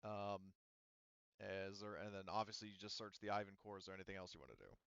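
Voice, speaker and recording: A man's voice: low voice, quick pace, gravelly, fairly bright tone, clear, resonant tone, dry recording, muffled recording. A man running a tabletop roleplaying game as game master, talking casually and thinking aloud in a rambling way.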